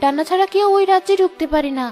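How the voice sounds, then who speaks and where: A caring elder fairy sister , played by a woman, in a high voice. She instructs and reassures, with music behind the cartoon dialogue. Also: quick pace, warm tone. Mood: reassuring. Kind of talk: cartoon fairy-tale acting.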